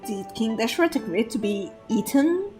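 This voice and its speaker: A woman's voice: a little high, animated, moderate pace, warm tone, soft background music. A woman streaming a game, thinking aloud in a hesitant, casual way and laughing often. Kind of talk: gameplay commentary.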